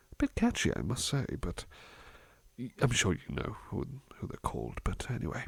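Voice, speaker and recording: A man playing a gentle, doting, courteous butler in a softly spoken ASMR roleplay, with tender, polite and somewhat hesitant delivery. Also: fairly low pitch, creaky, breathy edge, no room sound, heavily acted. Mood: musing, hesitant.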